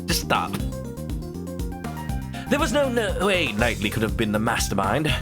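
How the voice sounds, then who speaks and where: A man's voice: medium pitch, wildly swooping pitch, measured pace. Playful man streaming a game. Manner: playful, often reads game text aloud and shouts catchphrases. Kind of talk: casual gaming commentary. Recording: game music behind the voice.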